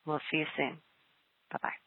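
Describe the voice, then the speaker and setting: A woman leading a guided spoken prayer. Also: normal speed. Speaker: prayer leader. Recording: thin phone-like sound.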